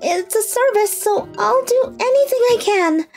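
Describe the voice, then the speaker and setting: A woman voicing a timid, apologetic high-school girl in a manga story, speaking haltingly. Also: lively intonation, darkish tone, faint music behind, full character voice. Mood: nervous, hesitant. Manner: hesitant, halting, stammering.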